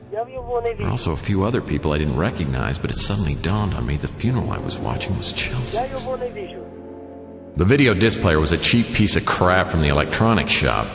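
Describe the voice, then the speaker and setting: A man's voice: deep voice, vocal fry, heavily acted. An actor playing a wisecracking hard-boiled male private detective, narrating with dry sarcasm. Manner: dry, sardonic. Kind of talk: noir first-person radio narration. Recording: echoey with long reverb.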